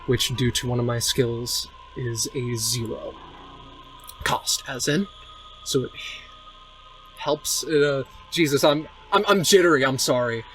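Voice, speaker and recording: Male tabletop player, average pitch, earnest hesitant delivery with dramatic leanings, guitar music clearly underneath. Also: a bit bright, rough recording. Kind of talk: tabletop roleplay, narrating own character.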